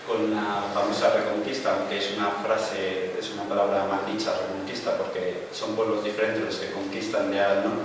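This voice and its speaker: Low-voiced male lecturer in an echoey hall. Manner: asks rhetorical questions and answers them himself; loose and explanatory, with many "pues" and "eh" fillers. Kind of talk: informal lecture with slides. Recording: echoey hall, distant mic, background noise.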